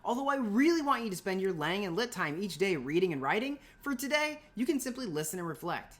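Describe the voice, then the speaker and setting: A woman's voice: medium pitch, very animated, fairly quick. A woman teaching an informal scripted lesson for middle schoolers, chatty and playful with emphatic asides.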